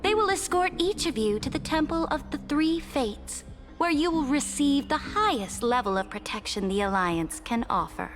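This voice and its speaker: A woman playing a cold, commanding ruler in a game, speaking with formal, haughty, measured oratory. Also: high voice, animated, music in the background. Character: imperious, cold, commanding female ruler addressing her council. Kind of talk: dramatic game voice acting.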